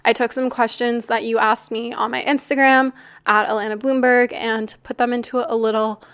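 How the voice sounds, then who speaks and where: A young Canadian woman talks through her solo podcast in a candid, chatty way, dropping the odd swear word. Her voice sits a little high, and though the tone is smooth underneath, a flu has left it raspy and slightly nasal. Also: level tone, normal speed, fairly bright tone. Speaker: podcast host. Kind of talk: casual solo podcast chat.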